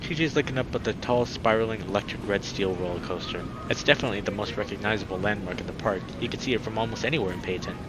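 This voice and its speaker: A man's voice: fairly low pitch, fast, hardly any pauses. A young adult man doing a casual let's play, chatting to viewers and reading a visual novel aloud. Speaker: game streamer. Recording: noisy background behind the voice.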